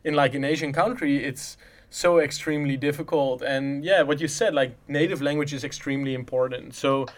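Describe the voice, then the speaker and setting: A man's voice: a little high, brisk. A man from the Netherlands, a native Dutch speaker, talking casually in English and rambling with frequent restarts. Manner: rambling, many restarts and "in a sense". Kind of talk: casual conversation.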